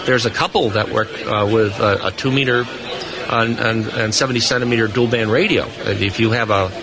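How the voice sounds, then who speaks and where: A man's voice: fairly low pitch, steady tone, moderate pace. A man giving detailed, technical explanations and stories as a guest in a live radio interview. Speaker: guest, amateur radio expert. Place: busy indoor convention hall booth. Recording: busy convention floor crowd noise. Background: crowd chatter.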